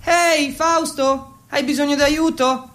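Man as friendly teenage girl, raised pitch, helpful, inviting, radio drama. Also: fairly even intonation, slow, fairly bright tone, heavily acted.

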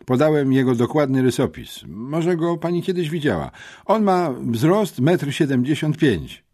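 A man's voice: on the high side, very animated, unhurried, mellow, slightly rough, voice pushed up, heavily acted. A man voicing an earnest, talkative schoolboy amateur detective in an audiobook, meticulous and matter-of-fact. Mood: eager, precise. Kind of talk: audiobook character reading.

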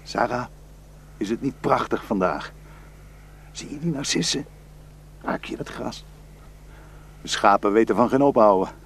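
An actor playing a proud, dreamy Kurdish shepherd husband in a radio drama. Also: male voice, medium pitch, a little slow, long pauses, slight background noise, natural voice. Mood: dreamy, happy.

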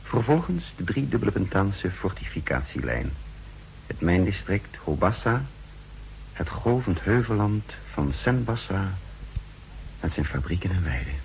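An actor playing a wary, contemptuous secret agent who narrates his mission in a measured, literary way in a 1960s radio drama. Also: sits low, big pitch swings, creaky, exaggerated voice. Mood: grim, measured. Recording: noisy 1960s radio recording.

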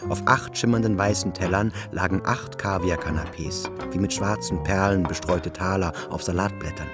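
Deep-voiced man narrating over music. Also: moderate pace. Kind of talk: scripted literary narration for radio drama.

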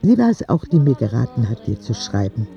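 A very mellow, dark voice narrates with plain candour over music. The radio drama telling is earnest and unadorned, in a medium-pitched male voice with a slightly dull sound. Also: big pitch swings, brisk, creaky. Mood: candid, wistful. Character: earnest, candid ten-year-old sick boy narrating his letters.

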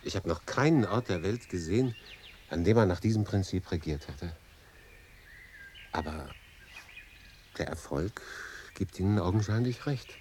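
A man's voice: deep voice, expressive, moderate pace, pauses often, a little mellow, slight background noise. An actor in his late thirties playing a cool, urbane English consul in a German radio drama, thoughtful, dry and understated. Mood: curious, probing. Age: late 30s.

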